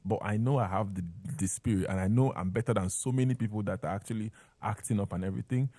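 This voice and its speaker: Man, Nigerian accent, low mellow voice, conversational interview storytelling, dry close recording. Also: fast talker, dry recording. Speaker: interview guest, filmmaker.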